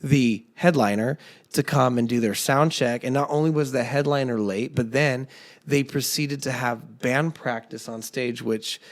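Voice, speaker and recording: A man with a slightly raspy voice tells band stories in a loose, rambling way. He restarts his sentences often and talks with a middle pitch. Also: a little slow. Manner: rambling storyteller, many fillers and restarts. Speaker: podcast host and band singer. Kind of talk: casual chat among bandmates.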